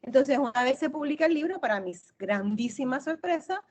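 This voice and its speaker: A woman's voice: sits high, expressive, fairly quick. A middle-aged woman from Cuba answering interview questions as an author, explaining at length and repeating phrases for emphasis.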